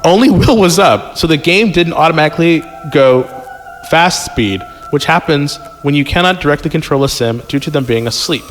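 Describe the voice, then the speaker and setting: A man's voice: fairly high pitch, lively intonation, moderate pace. A man hosting a podcast, reading a story aloud casually, stumbling over the text and breaking off to joke and comment. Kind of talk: casual read-aloud with joking banter. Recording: long reverb, music underneath. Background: music.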